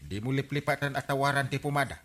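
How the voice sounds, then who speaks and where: A man's voice: medium pitch, quick pace, a bit bright, lightly acted. A man playing a bossy, thrifty uncle handing out errands in a comedy radio drama.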